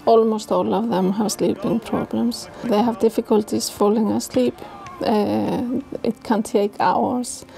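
A woman psychologist speaks slowly in a flat, gravelly, dark voice. She pauses often. Soft music plays under her. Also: a little high. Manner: slow, deliberate, with many pauses. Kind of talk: documentary interview.

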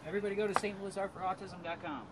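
A man's voice: sits high, steady tone, rapid-fire, very noisy. A young man sawing wood in a backyard, making short joking remarks while he works, heard from a few meters off the phone's mic. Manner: joking, brief, talks while working. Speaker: builder cutting pallets. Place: outdoors in a backyard. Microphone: off-mic, picked up by a phone camera a few meters away.

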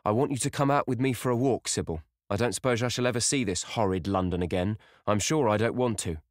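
A man's voice: fairly low pitch, fast talker, dry recording, studio quality, voice pushed up, close to an everyday voice. A man voicing a blunt, good-natured young lad who grumbles.

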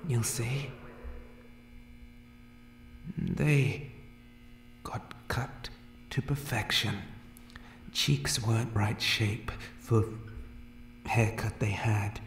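A man voicing an unhinged, hair-obsessed monster barber in a horror ASMR roleplay, muttering broken, repetitive phrases in a creepy, pleading, breathy whisper. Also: mid-range voice, steady tone, drawn-out words, pauses often, echoey room, slight background noise. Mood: obsessive, eerie.

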